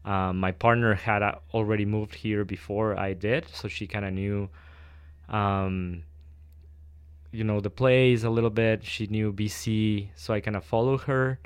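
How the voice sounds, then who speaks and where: A young man from Ecuador, giving thoughtful, hesitant interview answers full of pauses and restarts. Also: on the low side, steady tone, clear, resonant tone, dry recording. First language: Spanish. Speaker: guest, doctoral student.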